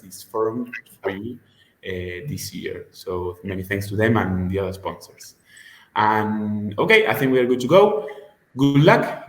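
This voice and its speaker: A man hosting an online forum over a video call, introducing speakers in a hesitant way with many fillers. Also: lowish voice. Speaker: host. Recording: echoey room audio.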